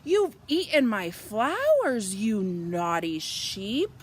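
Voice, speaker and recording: A woman voicing a cross but softhearted woman farmer from a children's storybook, in an exclaiming, scolding manner. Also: medium pitch, sing-song, takes it slowly, a little hiss or hum.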